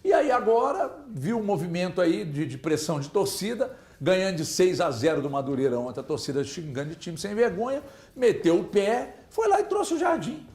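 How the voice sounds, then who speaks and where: Brief: male football-show host, lively swooping delivery, emphatic and quick to argue, somewhat high voice. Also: roomy sound. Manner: animated, emphatic, talks over others. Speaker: sports talk show host. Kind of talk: casual sports debate.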